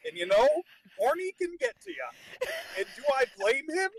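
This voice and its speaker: A man chatting casually as a podcast panelist, halting and joking as he goes. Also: extremely high pitch, big pitch swings, gravelly, no room sound, rough recording. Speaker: podcast after-show panelist. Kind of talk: casual chat.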